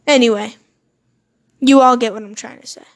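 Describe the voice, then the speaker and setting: A woman's voice: a little high, steady tone, moderate pace, creaky. A young woman in her teens hosting a solo podcast, talking earnestly and rambling, stumbling over words and swearing in self-mocking asides. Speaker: podcast host. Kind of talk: solo motivational podcast monologue.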